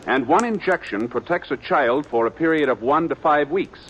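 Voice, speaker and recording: A man reading a public service announcement in a measured, formal announcing manner on a 1950s radio broadcast. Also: fairly high pitch, animated, a bit bright, low-quality recording. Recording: old radio broadcast with hiss.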